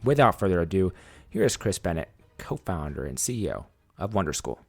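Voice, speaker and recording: A man's voice: deep voice, big pitch swings, brisk, gravelly, husky, soft background music. A man hosting a podcast, reading a sponsor message and then chatting upbeat with his guest. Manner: upbeat, reads sponsor copy then chats. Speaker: podcast host. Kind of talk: podcast interview and ad read.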